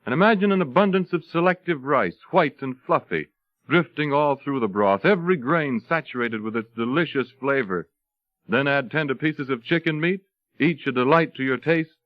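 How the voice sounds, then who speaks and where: A man's voice: medium pitch, lively intonation, normal speed, no echo. A man reading a scripted 1930s radio advertisement as a polished, persuasive announcer. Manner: polished, persuasive descriptive delivery. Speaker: commercial announcer. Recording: dry, muffled vintage radio broadcast.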